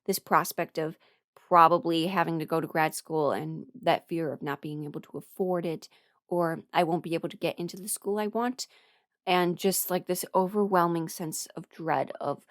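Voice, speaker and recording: A young adult woman talking frankly and haltingly in a candid personal ramble, often quoting her own thoughts as she goes. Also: lowish voice, fairly even intonation, dry recording, studio quality. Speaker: voice performer sharing a personal ramble.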